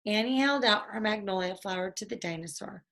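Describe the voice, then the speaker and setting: A woman reading a children's story aloud in a deliberate, expressive storytelling manner. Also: mid-range voice, vocal fry. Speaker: storybook reader.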